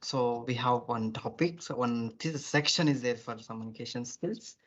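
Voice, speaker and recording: A man's voice: medium pitch, normal speed, a bit bright. Brief: male teacher, rambling lecture full of "you know", dull lo-fi sound. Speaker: soft skills teacher. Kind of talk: online class lecture. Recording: dull lo-fi audio.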